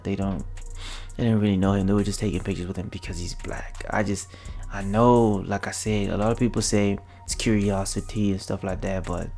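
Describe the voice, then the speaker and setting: Male reaction vlogger, low and gravelly, chatty and slangy, soft music bed. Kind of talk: casual reaction commentary.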